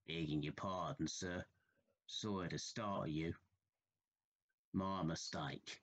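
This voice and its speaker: A man playing a humble, haunted Victorian railway signalman in an audio play, speaking gravely and haltingly with deference. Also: low voice, lo-fi sound. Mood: apologetic.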